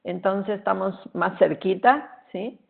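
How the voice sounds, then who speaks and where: A middle-aged Argentine woman with a Portuguese-tinged accent, giving a relaxed, chatty webinar talk with many fillers over a video call. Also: medium pitch, takes it slowly, creaky. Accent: Argentine Spanish, with Portuguese influence. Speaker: guest speaker. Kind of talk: webinar presentation. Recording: tinny phone-like online call audio.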